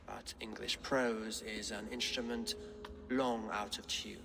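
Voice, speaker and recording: A man's voice: on the low side, flat delivery, gravelly, sharp tone, a little hiss or hum, exaggerated voice. Learned Saxon king, echoing. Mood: weary reflection. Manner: formal, measured, scholarly. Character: learned, pious Saxon king, weary and eloquent. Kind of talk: video game cutscene acting.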